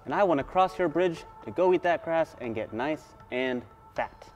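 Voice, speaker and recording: A man's voice: on the high side, animated, normal speed, lo-fi sound, faint music behind, lightly acted. A man voicing a small, timid young billy goat, pleading, in a children's story.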